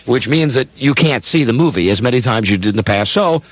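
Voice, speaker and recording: Male radio host, playful and theatrical, highly varied intonation, conversational live delivery. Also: animated, a little fast. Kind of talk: casual live radio banter.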